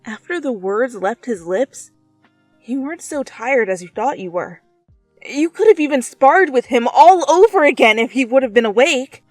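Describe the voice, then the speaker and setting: A woman reading a story aloud as dramatic, expressive narration, addressing the listener as the main character. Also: high-pitched, normal speed, no echo, soft background music, rough recording. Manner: theatrical, expressive. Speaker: fan fiction reader.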